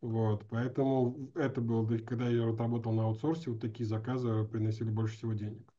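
Guy in his 40s, really dark mellow voice, slangy casual interview chat, bit lo-fi video call. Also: mid-range voice, little pitch movement, fast talker. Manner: informal, slangy, thinking aloud. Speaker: animation teacher. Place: indoors at home or office, on a video call. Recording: dull lo-fi video call audio.

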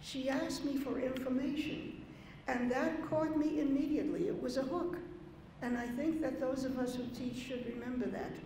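An older woman from the Dominican Republic, speaking at a podium before a poetry reading, unhurried and anecdotal with small asides. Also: sits high, steady tone, dark tone. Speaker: poet giving a reading. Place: large conference hall, at a podium. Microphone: podium mic on a gooseneck stand. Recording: very echoey hall with faint noise.

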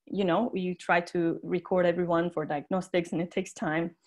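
A woman's voice: on the low side, little pitch movement, normal speed. A woman in her thirties whose first language is Turkish, giving an earnest online conference talk with frequent fillers over a webcam. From Turkey. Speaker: teacher trainer giving a conference plenary. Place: indoor room, webcam video call.

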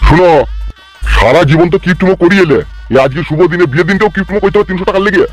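A man's voice: high-pitched, rapid-fire, soft background music. A man voicing a crabby, money-grubbing old wedding priest in a comic cartoon, scolding and crude. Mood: bossy, haggling.

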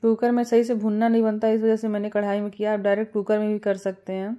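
Mellow-voiced woman explaining home cooking. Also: mid-range voice, quick pace. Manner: calm step-by-step instructions with small fillers. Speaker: cooking channel host. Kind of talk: home cooking tutorial voice-over.

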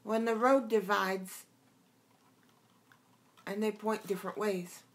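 A man reading aloud and voicing a puzzled, polite young girl in a soft, high voice. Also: steady tone, slow. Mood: hopeful.

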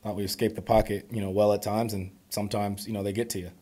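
A young man in his twenties answering reporters at a postgame press conference, calm and measured with many "you know"s, speaking into podium microphones. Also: on the low side. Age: 20s. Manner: calm, measured, filler-heavy replies. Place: press conference room before a sponsor backdrop.

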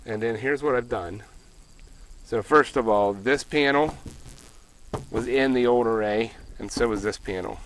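Rambling middle-aged man outdoors. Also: mid-range voice. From Pennsylvania. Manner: rambling, self-correcting, plainspoken. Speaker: homestead solar vlogger. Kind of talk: casual walkthrough vlog. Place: outdoors by a ground-mounted solar array in a rural field.

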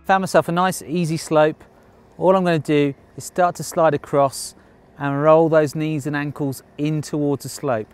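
A man in his thirties teaches skiing out on a snowy slope, his voice sitting a little high. He explains plainly and upbeat, with the odd dry joke, into a clip-on mic. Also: smooth. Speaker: ski instructor. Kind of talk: on-slope ski lesson. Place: outdoors on a snowy ski slope.

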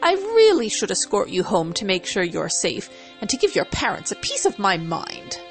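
A woman's voice: medium pitch, huge pitch swings, moderate pace, faint music behind. A woman voicing a fussy but caring cartoon unicorn mare, haughty, refined and theatrical, in a dramatic story reading. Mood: condescending, softening.

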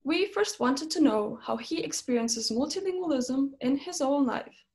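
A woman's voice: high-pitched, lively intonation, moderate pace, darkish tone. A young woman hosting an interview series, delivering a scripted introduction to camera. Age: young adult. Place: small plain room indoors.

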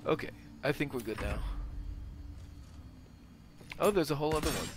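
A man's voice: on the high side, lively intonation, normal speed, slight background noise. A man giving casual gameplay commentary as a gaming host, thinking aloud as he plays. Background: video game ambience.

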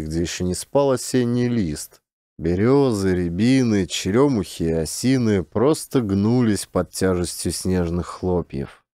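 A man narrates a classic tale in a low, mellow voice. The recording is close and dry, with the measured style of an audiobook. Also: no echo. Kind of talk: scripted literary narration of a Siberian tale.

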